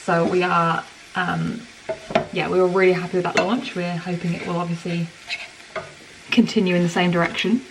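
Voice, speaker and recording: A young woman in her twenties rambles chattily to camera, her low voice full of vocal fry. She sounds a little distant from the mic in a home kitchen, with a pan loudly sizzling behind her. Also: flat delivery, moderate pace, pauses often, noisy background. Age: 20s. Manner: rambling and chatty, lots of "like" fillers. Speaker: vlogger. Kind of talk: casual chat to camera. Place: home kitchen while cooking dinner. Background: frying food sizzling.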